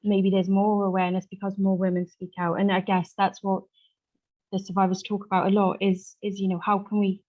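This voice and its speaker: A woman speaking off the cuff as a support worker in a group discussion, earnest and halting as she pieces her sentences together. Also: mid-range voice, monotone, muffled recording. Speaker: support worker, survivor engagement officer. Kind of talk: unscripted contribution to a group discussion.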